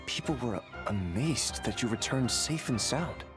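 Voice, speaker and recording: Man acting exasperated, emotional game character, swinging pitch. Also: lively intonation, unhurried, natural voice. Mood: probing. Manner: emphatic, dramatic delivery with heavy sighs. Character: exasperated, earnest male colleague, openly angry yet caring. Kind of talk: English video game voice acting. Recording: game audio with music behind.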